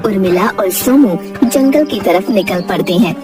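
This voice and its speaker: A woman's voice: medium pitch, lively intonation, moderate pace, recorded from a distance. A woman reading a scripted children's story as narrator, with music playing behind her. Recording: music under the voice.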